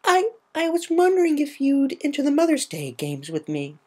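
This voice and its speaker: A woman's voice: high voice, huge pitch swings, moderate pace, dark tone, pitched above the performer's own voice. A woman voicing a shy, nervous young Pegasus filly who stammers, hesitates and sounds apologetic.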